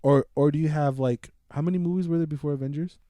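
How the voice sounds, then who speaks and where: A man's voice: on the high side, steady tone, fast, dark tone, dry recording. A man hosting a podcast in casual banter with friends, slangy and given to swearing. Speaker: podcast host.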